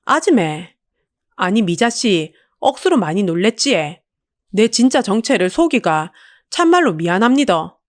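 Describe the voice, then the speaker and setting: A gruff, commanding big man from an audiobook drama, acted by a woman, speaking in a Busan Gyeongsang accent. He is a blunt, imposing boss with a tender streak, recorded dry and close. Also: mid-range voice, big pitch swings, a little slow, no room sound. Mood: tender apology. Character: burly gruff vengeful tycoon man, tender to his love.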